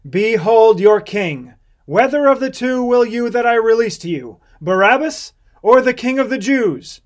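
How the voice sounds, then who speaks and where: Troubled but commanding Roman governor acted by a man, declaiming emphatically in a raised, high voice for scripture drama. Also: very animated, takes it slowly, darkish tone, exaggerated voice. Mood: exasperated, pleading. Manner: emphatic, declamatory public address.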